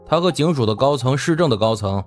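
A man's voice: mid-range voice, animated, fast talker, faint music behind, pitched above the performer's own voice, close to an everyday voice. A man voicing an arrogant, impatient young heir in an audiobook, haughty and coarse. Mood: irritated contempt.

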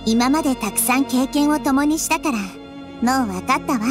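A woman voicing a wise, caring little-girl deity in an anime game, soft, thoughtful and measured. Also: sits high, natural voice. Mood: gentle resolve. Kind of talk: Japanese anime game voice acting. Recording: music behind the voice.

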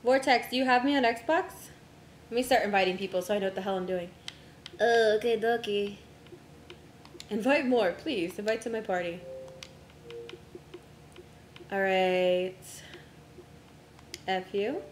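A young woman streamer chatting casually with friends while setting up a game, her voice going hoarse from a long day of talking. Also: mid-range voice, normal speed, pauses often, echoey room, a little hiss or hum. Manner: distracted, talks to herself while navigating menus.

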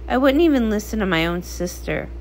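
A woman's voice: medium pitch, lively intonation, brisk, lightly acted. Brooding undersea queen, played by a woman, curt, grave, guarded, comic read-along, noisy recording. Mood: guarded, regretful. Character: brooding, brusque sea queen, female, guarded and solemn.

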